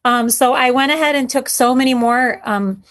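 A woman's voice: high voice, normal speed, a bit bright. Earnest woman author, raised in Syria. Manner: earnest, many fillers and restarts. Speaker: children's author guest. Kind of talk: casual interview talk.

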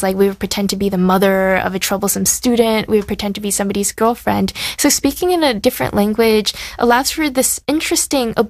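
A novelist in her thirties talks through her craft in careful, measured answers. Her voice has a gravelly fry at the ends of phrases, and the sound is dry and close, with no room around it. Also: medium pitch, dry recording. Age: 30s. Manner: thoughtful, measured explanations. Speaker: novelist guest. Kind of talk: interview answers.

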